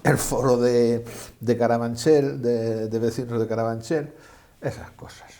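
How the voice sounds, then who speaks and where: A man's voice: mid-range voice, fairly even intonation, unhurried, dark tone. An older retired man from Asturias, a guest in an interview, who talks in a modest, hesitant way and makes light of himself. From Asturias, Spain. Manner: modest, hesitant, self-deprecating. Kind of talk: conversational interview.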